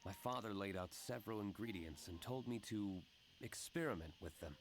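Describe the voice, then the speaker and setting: Man playing a deep, composed, courteous gentleman. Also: over music, close to an everyday voice. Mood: reflective. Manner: measured, reserved, polite. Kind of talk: video game character acting.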